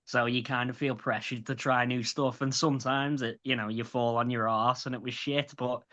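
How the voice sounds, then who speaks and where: A man's voice: medium pitch, flat delivery, moderate pace, a bit bright, lo-fi sound. A man in his late twenties with a light Scouse accent, a stand-up comedian chatting as a guest, swearing and restarting often. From grew up in Liverpool. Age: late 20s. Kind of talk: casual interview chat.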